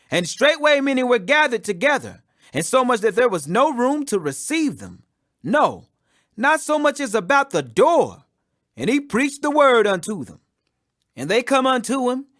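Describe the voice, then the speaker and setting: A man with a strikingly high voice reads a Bible chapter aloud. He reads earnestly and steadily, lifting his voice whenever he speaks the quoted words. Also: fairly bright tone. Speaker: scripture reader.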